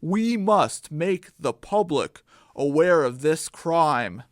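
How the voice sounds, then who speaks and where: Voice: medium pitch, expressive, takes it slowly, no echo, studio quality. A narrator voicing an earnest, righteous male superhero with a solemn, declarative delivery in a radio drama.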